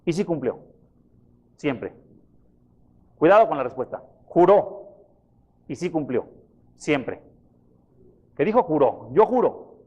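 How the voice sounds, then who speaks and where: A pastor preaching a sermon, questioning his congregation and retelling scripture in a lively way. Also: on the high side, takes it slowly, long pauses, slightly rough, lo-fi sound. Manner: questions the congregation, repeats references for them. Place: church.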